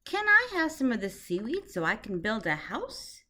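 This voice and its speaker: Woman doing a squeaky little fish boy. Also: wildly swooping pitch, normal speed, pushed and bright, exaggerated voice. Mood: defiant. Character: small young male fish, timid but defiant.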